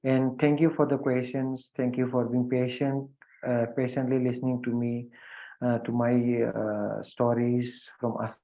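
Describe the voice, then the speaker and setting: A man's voice: medium pitch, little pitch movement, a little slow. A man from India with an Indian English accent, a guest speaker thanking the audience at the end of an online event, hesitant and full of fillers and restarts. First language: Hindi or a regional language of India. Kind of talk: video conference remarks. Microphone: video call. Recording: phone-like narrow video call audio.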